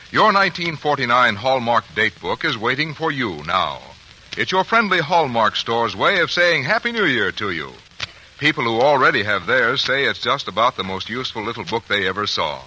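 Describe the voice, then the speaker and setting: A man's voice: medium pitch, bright, forceful tone, slight background noise, rough recording. A male radio announcer reading a commercial in a friendly, persuasive way. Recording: old radio broadcast.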